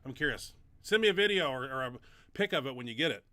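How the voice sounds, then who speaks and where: Bright-voiced rambling man. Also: medium pitch, animated, fast, dry recording. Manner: rambling, many fillers and restarts. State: stuffed-up nose. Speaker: show host and powerlifter. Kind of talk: casual live Q&A chat.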